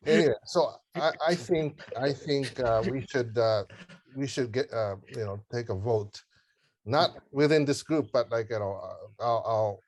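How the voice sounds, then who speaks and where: Halting middle-aged man on a group call. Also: mid-range voice. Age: 50s. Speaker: organiser leading a group planning call. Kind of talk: casual group call discussion.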